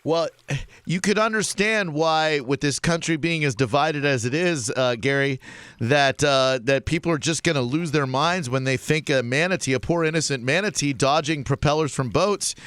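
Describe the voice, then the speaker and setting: A man's voice: fairly high pitch, moderate pace, a bit bright, clear, resonant tone, dry recording, natural voice. Man as a hesitant, bemused radio news interviewer. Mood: earnest. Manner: hesitant, mock-earnest. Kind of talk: comic cartoon interview.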